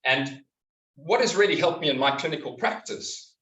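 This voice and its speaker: A man's voice: on the high side, lively intonation, moderate pace, a bit bright. South African man talking in an echoey hall. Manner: chatty, earnest, with frequent ums and restarts. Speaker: health practitioner giving a presentation. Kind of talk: community talk to a live audience. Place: community hall. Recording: echoey hall, speaker far from the mic.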